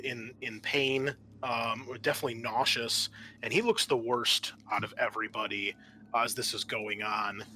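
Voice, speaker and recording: A man narrating a tabletop roleplaying game as game master, improvising in a halting way. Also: medium pitch, pushed and bright. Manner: halting, searching for words.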